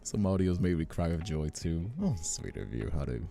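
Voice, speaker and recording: A soft-spoken man chatting casually on a livestream as the host, talking in a hesitant, rambling, reassuring way close to the mic. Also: sits low, lively intonation, normal speed, gravelly. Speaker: streamer and ASMR voice actor hosting a live stream. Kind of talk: casual livestream chat with ASMR calm. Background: soft instrumental music.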